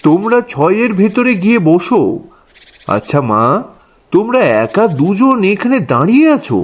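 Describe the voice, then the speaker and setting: A man's voice: high voice, sing-song, normal speed. A man narrating a horror story dramatically in the first person as a boatman.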